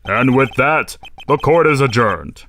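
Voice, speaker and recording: A man's voice: medium pitch, lively intonation, fairly bright tone, a little hiss or hum. Man playing a solemn old courtroom judge. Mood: formal. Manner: formal, deliberate. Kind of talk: video game fandub acting.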